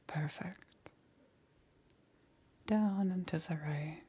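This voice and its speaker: Woman acting caring cabin witch, low voice, very slow, soft ASMR roleplay, soothing, often whispered. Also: slightly rough, full character voice. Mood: gentle, attentive. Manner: unhurried, soothing, checking in with short murmurs. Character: gentle woman witch caring for an injured stranger.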